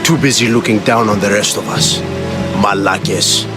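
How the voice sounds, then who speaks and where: A man's voice: fairly low pitch, moderate pace, close to an everyday voice. A man playing a cornered, defiant, cynical spy in a video game, with a sardonic delivery. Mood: bitter, sardonic. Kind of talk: video game cutscene acting. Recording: music behind the dialogue.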